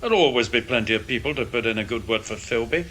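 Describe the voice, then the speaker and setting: Male, animated pitch swings, forceful, actor playing combative spy veteran, blunt, impatient, radio drama. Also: fast, lightly acted. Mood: blunt, scornful. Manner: emphatic, challenging. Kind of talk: radio drama acting, heated meeting dialogue.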